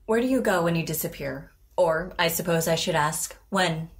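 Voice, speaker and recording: Composed, measured woman therapist, played by an actress. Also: fairly low pitch, close to an everyday voice. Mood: calm, probing. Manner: measured, formal, gently questioning. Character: composed, probing, reassuring female therapist. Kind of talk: naturalistic audio drama therapy session.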